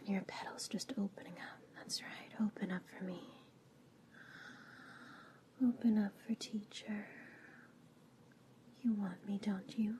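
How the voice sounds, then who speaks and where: A woman playing a coaxing, indulgent teacher in an intimate roleplay, speaking in a soft, breathy whisper and murmuring slowly. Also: medium pitch, steady tone, lightly acted. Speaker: audio roleplay performer.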